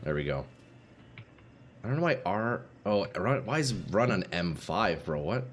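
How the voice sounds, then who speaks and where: A man streaming a game live, chatting casually with viewers, swearing and reacting loudly, his voice going a little hoarse. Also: low voice, big pitch swings, a little slow, slight background noise. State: slightly hoarse, losing voice. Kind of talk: casual live-stream chat while playing. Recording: faint game music behind.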